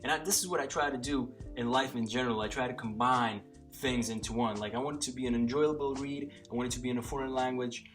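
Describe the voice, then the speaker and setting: A man's voice: mid-range voice, fairly even intonation, fast, few pauses, fairly bright tone, faint music behind. A man whose first language is Slovak, talking casually to camera by his bookshelf, rambling with many fillers and restarts. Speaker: polyglot vlogger. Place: small room by a bookshelf lit by a lamp.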